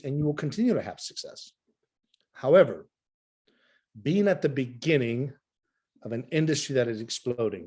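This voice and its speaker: A middle-aged man presenting over a video call, hoarse from talking nonstop, with an emphatic, repetitive sales delivery. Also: mid-range voice, a little mellow.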